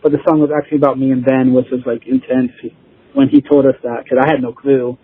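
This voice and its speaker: A man chatting casually as an interview guest, informal and rambling, with a husky voice. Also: medium pitch, flat delivery, fast talker, dry recording. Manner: informal, rambling, chuckling easily. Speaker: interview guest, band musician. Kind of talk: casual chat. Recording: tinny phone-like line.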